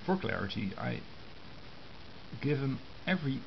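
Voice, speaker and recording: Slow, halting man, hissy sound. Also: mid-range voice, sing-song, gravelly. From Netherlands. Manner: halting, short phrases with pauses. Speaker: amateur radio hobbyist giving a tutorial. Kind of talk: informal step-by-step explanation of hand-drawn diagrams. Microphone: compact camera's built-in microphone. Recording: muffled camera microphone with hiss.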